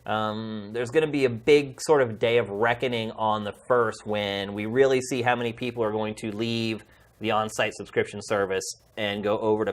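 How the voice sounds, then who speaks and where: A middle-aged man speaking as a podcast host, addressing viewers in a casual, heartfelt and emphatic way. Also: lowish voice.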